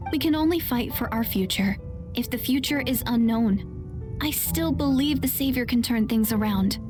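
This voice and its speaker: An actress playing an earnest, hopeful young woman in a video game, speaking gently and deliberately. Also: fairly high pitch, over music, close to an everyday voice. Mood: tender, resolute. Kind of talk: video game character acting.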